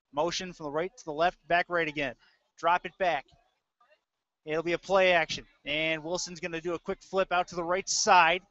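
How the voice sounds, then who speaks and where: Bright-voiced man calling football. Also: on the high side, dry recording. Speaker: play-by-play sports commentator. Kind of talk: live American football commentary. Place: indoor audio-only webcast. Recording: dull lo-fi audio.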